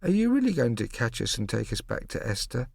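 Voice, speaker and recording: A man voicing a small, sleepy, curious boy who talks bluntly in a high childish voice. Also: wildly swooping pitch, fairly quick, creaky, darkish tone, breathy edge, no room sound, clean studio sound, exaggerated voice.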